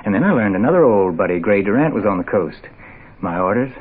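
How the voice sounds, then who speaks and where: A smug turncoat gunman from a sixties radio thriller. He needles his prey in hipster slang with a rough, husky drawl, low-set, through a thin, muffled old broadcast sound. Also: expressive, quick pace. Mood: mocking. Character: smug, jive-talking defector spy turned gunman. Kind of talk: 1960s radio mystery acting. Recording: muffled, phone-like vintage radio recording.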